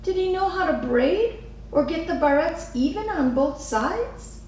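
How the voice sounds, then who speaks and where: A woman's voice: very high voice, expressive, a little slow, darkish tone, pitched above the performer's own voice. A woman voicing an earnest, wistful little girl from a storybook, with eager, wondering phrasing. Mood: curious. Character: earnest, wistful little girl who loves her mother. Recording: echoey room, distant mic, faint noise.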